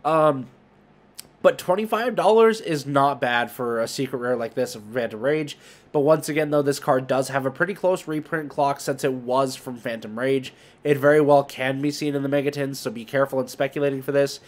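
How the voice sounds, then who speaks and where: A man's voice: mid-range voice, moderate pace. A man giving casual, unscripted market commentary as a host, talking emphatically and rambling, often correcting himself. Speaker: host of a trading card market video.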